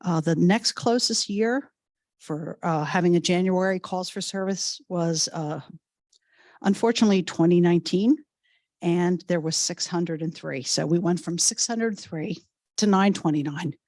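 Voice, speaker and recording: A woman police chief, coming down with a cold, giving a measured, explanatory report at a township meeting. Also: on the low side, darkish tone, no echo, studio quality.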